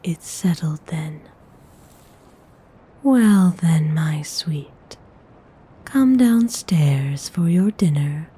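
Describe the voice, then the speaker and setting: Woman playing a breathy, slow-speaking vampire noblewoman. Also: low voice, expressive, full character voice. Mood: tender, admiring. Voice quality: soft, breathy, mostly whispered. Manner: genteel, unhurried, formal wording. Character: courteous, commanding vampire noblewoman, doting on her human. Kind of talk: ASMR roleplay.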